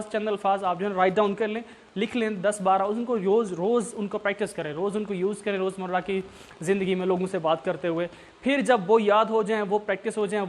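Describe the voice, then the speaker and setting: A man, a Saraiki speaker, with a fairly high voice, talks learners through tips in a loose, unscripted lesson. He repeats his points and keeps checking that everyone is following. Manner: repetitive, coaxing, often checks understanding. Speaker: English tutor. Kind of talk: informal instructional talk.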